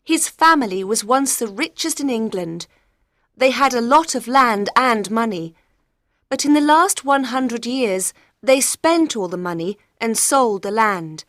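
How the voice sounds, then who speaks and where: A woman playing a troubled gentlewoman of about thirty in a dramatised audiobook, speaking earnestly and clearly. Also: a little high, lively intonation, a little slow, dry recording, studio quality. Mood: troubled, explaining. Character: frightened, desperate young gentlewoman of about thirty.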